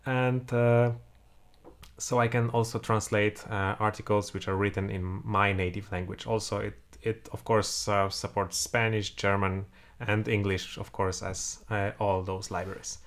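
Low, gravelly Polish-accented man. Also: little pitch movement, measured pace. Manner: thinks aloud while typing, many "uh" fillers. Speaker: programming tutor. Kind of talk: screen-recorded coding tutorial. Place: home room, indoors.

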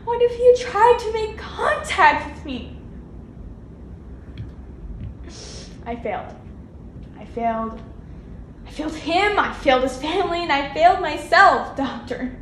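A woman acting a dramatic monologue as a grief-stricken young nurse who blames herself, swinging between flat withdrawal and outbursts. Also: sits high, normal speed, a little hiss or hum. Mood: self-blaming. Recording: echoey room from a distance.

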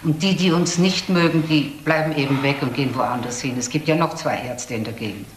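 A woman's voice: sits low, brisk, lightly acted. Woman acting earnest doctor's receptionist in a radio crime play, careful and frank, bit of room echo. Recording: roomy audio drama, faint noise.

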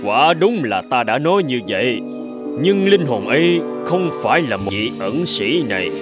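A man's voice: mid-range voice, very animated, normal speed, over music, natural voice. A man voicing a stern, commanding saint who judges souls in a fairy-tale cartoon, with an authoritative, reproving manner. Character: stern, commanding male saint judging souls at heaven's gate.

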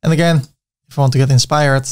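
Dutch guy walking through a website build on screen, chatty, pokes fun at himself, mid pitch. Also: fairly even intonation, fast, clear, resonant tone. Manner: chatty, self-mocking asides. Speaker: web design tutor. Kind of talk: screen-recorded software tutorial.

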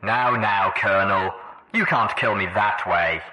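A man voicing a cool, casual, smugly menacing pony warlord in an audiobook, unhurried and taunting. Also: on the low side, sharp tone, rough recording.

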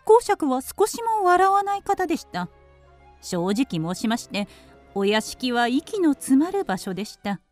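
A woman's voice: high voice, sing-song, unhurried, darkish tone, faint music behind. A woman voicing a refined female canary who politely tells her life story in a radio drama. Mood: earnest recounting. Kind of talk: Japanese radio drama narration.

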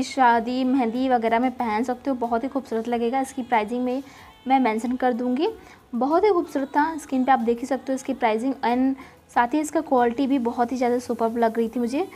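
A woman's voice: high-pitched, fairly even intonation, fairly quick, mellow. A woman narrating a shopping vlog casually, gushing with repeated praise. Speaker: shopping vlogger.

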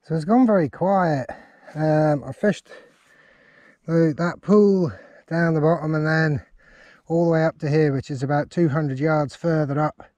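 A man's voice: on the high side, a little slow, darkish tone, dry recording. A man chatting casually and in an understated way outdoors by a river, as an angler presenting his fishing diary. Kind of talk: casual outdoor vlog chat.

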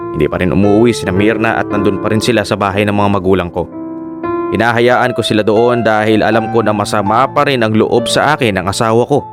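A man's voice: on the low side, lightly acted. A man playing a fisherman husband and father who speaks hesitantly in a radio drama. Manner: hesitant, stammering. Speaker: voice actor. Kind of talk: radio drama acting and narration.

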